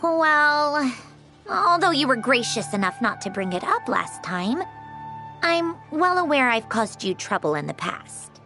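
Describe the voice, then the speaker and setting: A woman's voice: high voice, big pitch swings, unhurried, over music, exaggerated voice. An actress playing a polite, earnest young woman in a video game, speaking with formal courtesy. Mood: apologetic, courteous.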